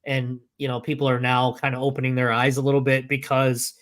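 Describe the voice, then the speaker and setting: A hoarse man, sick for days and now losing his voice, talking through a casual sports podcast. He stops and restarts often, pushing on in uneven bursts. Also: mid-range voice, monotone. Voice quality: halting, many restarts and fillers. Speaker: podcast co-host.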